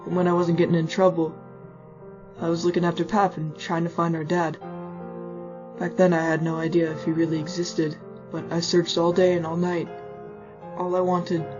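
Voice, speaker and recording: A casual, tender teenage skeleton boy, voiced by a woman in a lowish register, the protective big brother of a comic dub. The recording is slightly muffled, with music underneath. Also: level tone, normal speed, long pauses, natural voice. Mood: wistful. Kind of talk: fan comic dub, narration and dialogue. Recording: muffled lo-fi audio over music.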